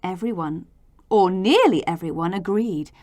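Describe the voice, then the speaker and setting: Female storyteller for children's read-aloud: theatrical and expressive, unhurried, with swooping intonation. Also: on the low side, dry recording. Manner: theatrical, deliberate, acts out characters.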